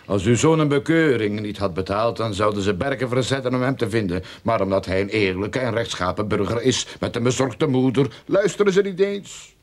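An actor playing a scheming, self-important private detective in a radio drama, with a pompous, theatrical manner. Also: male voice, mid-range voice, lively intonation, a little fast, hardly any pauses, lightly acted. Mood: unctuous.

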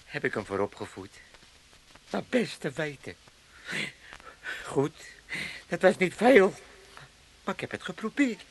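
Creaky, grumbling old man. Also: fairly high pitch, wildly swooping pitch, a little slow, long pauses, a little hiss or hum, exaggerated voice. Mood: aggrieved. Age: elderly. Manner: moaning, muttering complaints and oaths. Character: grumbling, self-pitying old Amsterdam father, locked away. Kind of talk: comic radio play acting.

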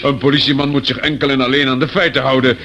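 A fussy, officious police inspector acted by a man in a radio drama, with a medium-pitched voice. He stammers awkwardly and apologetically, circling back over his words, on a dull-sounding old recording. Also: flat delivery, quick pace, background noise, close to an everyday voice. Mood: hedging, embarrassed. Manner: hesitant, stammering, apologetic, repetitive. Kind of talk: radio play acting.

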